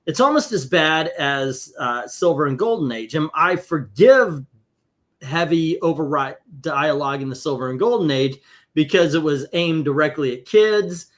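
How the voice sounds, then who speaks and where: A man's voice: on the high side, expressive, moderate pace. Wry man in his fifties. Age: 50s. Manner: wry, reads comic dialogue aloud mockingly. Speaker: comic book podcast host. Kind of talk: casual chat.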